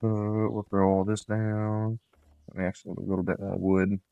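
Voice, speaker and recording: A man talks through his gameplay in an easygoing, wandering way and drops tips as he goes. His lowish voice sounds slightly nasal because he is coming down with a cold. The close desk mic keeps the sound dry, with no room echo. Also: normal speed, gravelly, clean tone, narrow, tinny audio. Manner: relaxed, rambling, gives tips while playing. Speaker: gameplay commentator. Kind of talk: casual let's-play commentary.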